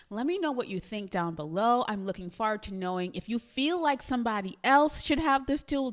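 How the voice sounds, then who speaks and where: Trinidadian lady doing a casual tutorial vlog, super excited, talks right to the camera. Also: medium pitch. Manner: chatty, enthusiastic, addresses viewers directly with frequent laughs. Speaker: YouTube creator.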